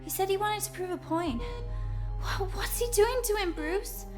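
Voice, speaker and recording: A woman playing a wounded, distraught young woman in a radio drama, pleading in a halting, anguished way. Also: very high voice, over music.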